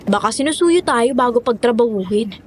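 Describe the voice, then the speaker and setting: An eager young Filipina cook acted by a woman in an audio drama. Her voice is high and she is chatty and considerate. Faint noise sits behind it. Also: lively intonation, normal speed, close to an everyday voice. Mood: upbeat.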